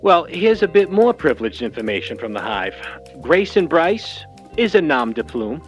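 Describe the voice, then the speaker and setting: Wry old-Hollywood noir fixer, lively delivery. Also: male voice, fairly high pitch, normal speed, faint music behind. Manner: wry, slangy, hard-boiled patter. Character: wary fast-talking old Hollywood talent agent, man. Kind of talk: 1940s noir audio drama acting.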